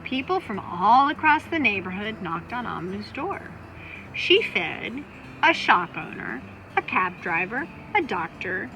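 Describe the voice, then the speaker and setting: A woman reads a picture book aloud for young children in a fairly high, bright voice. She stretches phrases and leans into key words with dramatic emphasis. Soft music plays underneath. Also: poor audio. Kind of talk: expressive scripted read-aloud for young children.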